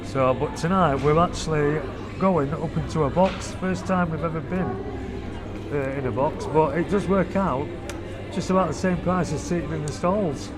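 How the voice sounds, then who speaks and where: A man's voice: a little high, moderate pace, over music. A man hosting a family vlog, giving a loose, chatty walking tour inside a theatre while filming on a handheld camera. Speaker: family vlogger host. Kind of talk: casual walking tour narration. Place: inside a theatre, foyer and private box.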